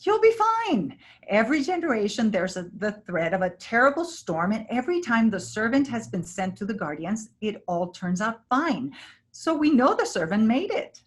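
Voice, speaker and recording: A woman's voice: a little high, very animated, moderate pace. A woman playing a breezy, self-assured village elder in a staged play reading, dispensing prophecy like a storyteller. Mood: breezy, blithe.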